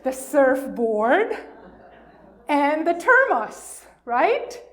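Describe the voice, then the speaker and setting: A woman with a fairly high voice speaks at a very slow pace, as a minister giving a storytelling message for all ages. She leaves pauses and asks her listeners questions as she goes. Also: sing-song. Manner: questioning, pausing, inviting listeners to answer.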